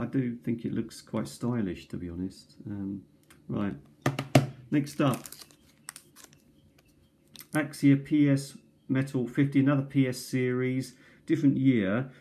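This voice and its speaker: Hesitant man, mellow voice. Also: mid-range voice. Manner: hesitant, many ums and restarts. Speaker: cassette tape collector. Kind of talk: casual show-and-tell. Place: kitchen table. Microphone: tablet camera mic.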